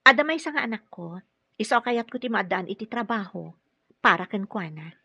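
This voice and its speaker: An earnest single mother and nurse in a Filipino radio drama. She speaks politely and a little hesitantly in a breathy, medium voice with a Filipino accent. The audio is dry and muffled. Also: medium pitch, animated, moderate pace, bright, forceful tone, no echo, full character voice. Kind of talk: Ilocano radio drama acting.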